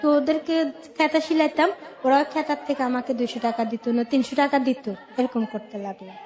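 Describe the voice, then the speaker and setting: Radio guest, female, Bangladeshi accent, high voice, recounting her own life in simple, colloquial short phrases. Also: fairly even intonation, fairly quick, a little mellow, a faint crowd. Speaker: guest telling life story. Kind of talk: personal life-story storytelling on radio.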